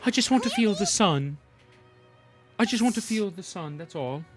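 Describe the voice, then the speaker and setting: A man's voice: sits high, animated, normal speed. A young man giving casual, slangy reactions as he streams a game, muttering asides. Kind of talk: casual gameplay commentary. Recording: game music under the voice. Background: video game music.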